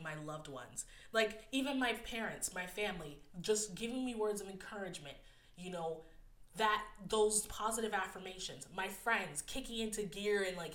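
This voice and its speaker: Tearful young woman, bright nasal voice. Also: medium pitch, reverberant. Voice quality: a bit nasal. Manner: candid, emotional, laughs often, fillers like "like" and "um". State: close to tears. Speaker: podcast host. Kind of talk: personal solo podcast talk.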